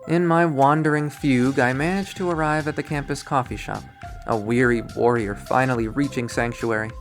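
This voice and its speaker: Male game readthrough narrator, mid-pitched, reading scripted prose with wry drama over background music. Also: fairly even intonation, moderate pace. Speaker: visual novel readthrough player and narrator.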